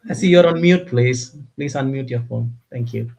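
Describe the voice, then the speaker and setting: A man's voice: mid-range voice, normal speed, gravelly, a little mellow, poor audio. A man co-hosting an online group call in casual chat, often repeating his words. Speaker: co-host.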